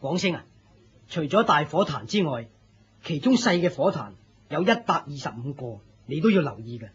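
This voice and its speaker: An actor playing a respectful, dutiful senior disciple in a Hong Kong Cantonese radio drama. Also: male voice, fairly high pitch, very animated, normal speed, slightly rough, dull audio, exaggerated voice.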